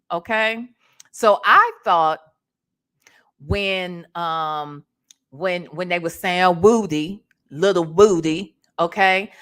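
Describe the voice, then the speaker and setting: A woman's voice: mid-range voice, a bit bright. A woman doing casual gossip commentary as an online host, sassy, slangy and profane, punctuating her points with emphatic rhetorical check-ins. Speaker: online commentator hosting a reaction show.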